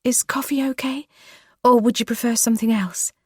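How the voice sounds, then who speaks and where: Woman voicing a gentle, careful woman investigator. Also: on the high side, fairly even intonation, moderate pace, husky, dry recording, clean studio sound, pitched above the performer's own voice.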